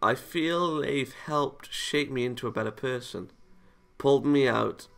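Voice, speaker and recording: Bright-voiced man reading a visual novel, creaky. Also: medium pitch, measured pace, faint music behind. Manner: chuckles and comments between read lines. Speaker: video game let's player. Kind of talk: reading visual novel narration aloud with casual reactions.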